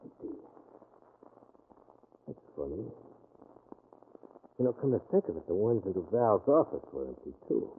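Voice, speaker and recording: An actor playing a shrewd, commanding male sleuth who gives brisk orders in a 1940s radio mystery. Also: deep voice, big pitch swings, full character voice. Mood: intent, reasoning. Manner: brisk, bossy, clipped orders. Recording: dull, noisy 1940s radio recording.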